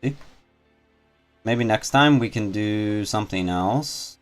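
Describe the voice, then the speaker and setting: A low-voiced man hosts a casual game stream. He rambles and thinks out loud as he talks to viewers, with faint game music playing behind him. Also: takes it slowly. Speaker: video game streamer and host. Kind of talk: casual livestream commentary.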